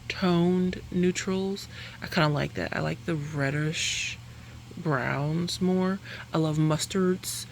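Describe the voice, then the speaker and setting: A woman's voice: low-pitched, gravelly, slight background noise. A woman chatting casually through a makeup review, rambling and correcting herself, laughing between sentences. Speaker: beauty vlogger.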